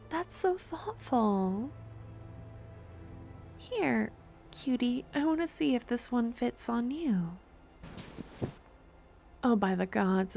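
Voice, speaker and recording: A woman voicing an obsessive, lovesick young necromancer stalker in an intimate ASMR roleplay, with a breathy, adoring, possessive murmur that often slips into whispers. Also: fairly high pitch, a little mellow, heavily acted. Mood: delighted. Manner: adoring, possessive, halting dramatic delivery.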